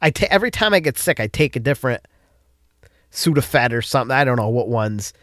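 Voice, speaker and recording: A man's voice: mid-range voice, expressive, a little fast, fairly bright tone, dry recording, studio quality. A man with a stuffed-up nose from a cold, hosting a casual solo podcast and rambling with frequent restarts. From upstate New York. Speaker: podcast host.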